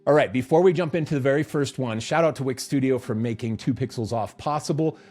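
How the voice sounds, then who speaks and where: A man's voice: mid-range voice, fast talker, darkish tone. A man co-hosting a podcast in a studio, chatting casually and joking, often laughing. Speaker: podcast co-host. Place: recording studio.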